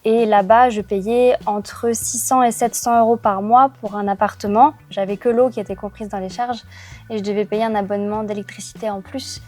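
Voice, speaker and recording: A woman hosting a conversational discussion for language learners, speaking in a clear, measured way. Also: mid-range voice, fairly even intonation, a little mellow. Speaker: host.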